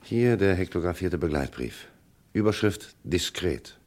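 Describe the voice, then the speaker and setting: A man's voice: low voice, animated, unhurried, darkish tone. A man playing a sober, persistent police inspector in a vintage radio crime drama, probing and matter-of-fact. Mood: dry, businesslike.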